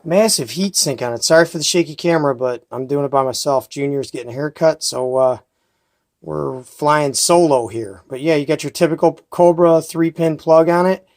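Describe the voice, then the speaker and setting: A man's voice: a little high, moderate pace. A man hosting a casual radio review, talking in an enthusiastic, folksy, rambling way while filming at home on a handheld camera mic. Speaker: host of a CB radio review channel. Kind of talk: casual product review and unboxing.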